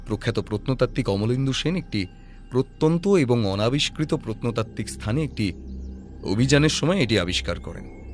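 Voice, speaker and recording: Man playing a calm sharp detective guy, lowish voice, thinking out loud, audio drama with faint music under. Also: close to an everyday voice. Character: shrewd, composed male detective reasoning through a mystery.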